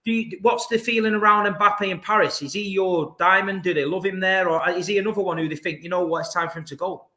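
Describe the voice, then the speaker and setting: A man with a bright, forceful voice hosts a relaxed football podcast. He keeps his questions simple and direct and speaks a little high for a man. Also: fast, few pauses. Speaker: podcast host. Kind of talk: casual podcast chat.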